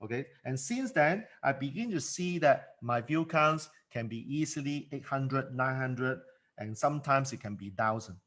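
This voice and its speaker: A man's voice: medium pitch, lively intonation, normal speed, a bit bright. A man coaching in a solo monologue, emphatic and given to repeating words, speaking English as a second language. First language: not English. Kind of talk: motivational monologue.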